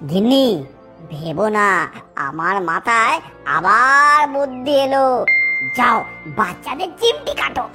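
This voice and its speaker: A man's voice: very high-pitched, sing-song, a little slow, music in the background, full character voice. A man voicing a wily, harried father fox in a children's fairy-tale cartoon, exclaiming as he goes. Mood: scheming.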